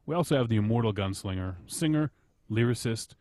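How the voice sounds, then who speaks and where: A man's voice: mid-range voice, animated, a little fast, darkish tone, no room sound, clean studio sound. A man hosting a podcast in casual banter, teasing his friends crudely and swearing freely. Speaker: podcast host.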